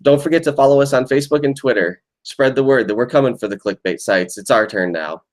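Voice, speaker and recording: A man hosting a podcast with a hoarse, worn voice from being ill. He talks in a chatty, informal way. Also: medium pitch, fast. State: hoarse, lost voice from illness. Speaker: podcast host. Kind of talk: casual podcast intro.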